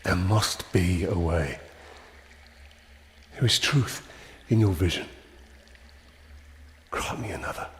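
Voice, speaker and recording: A man's voice: low-pitched, slow, long pauses, slight background noise. A man playing a grim, resolute ranger warrior in a dramatic fantasy video game. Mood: determined.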